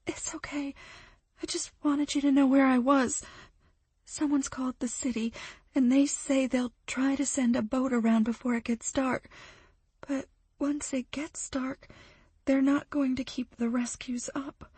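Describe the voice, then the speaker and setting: A woman voicing a frightened young woman trapped by a flood, her voice high, tearful and breaking into whispers. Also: level tone, measured pace, darkish tone, breathy edge, no echo. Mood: shaky.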